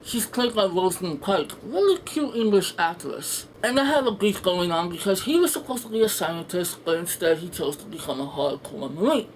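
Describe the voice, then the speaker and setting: A reviewer giving a casual, rambling and opinionated movie review, speaking bluntly and sarcastically with plenty of swearing. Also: mid-range voice. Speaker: film reviewer, video host. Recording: noisy home room with background ambience.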